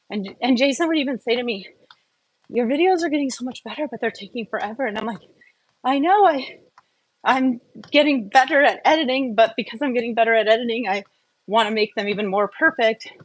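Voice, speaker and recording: A woman's voice: mid-range voice, low-quality recording. A woman chatting casually and candidly in a personal vlog, opinionated and prone to rambling off on tangents. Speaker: wellness vlogger.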